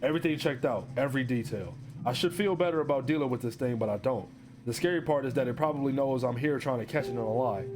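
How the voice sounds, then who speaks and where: A man's voice: mid-range voice, fast, natural voice. An actor playing a broke, desperate divorced man who narrates his analog horror story in a resigned, confessional, wry way. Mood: uneasy.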